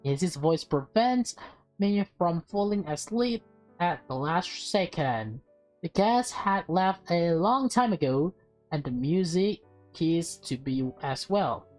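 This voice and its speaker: A woman's voice: lowish voice, expressive, slow, darkish tone, faint music behind. A woman reading a visual novel aloud with casual asides, often stumbling and rereading phrases. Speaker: gaming video host, reader.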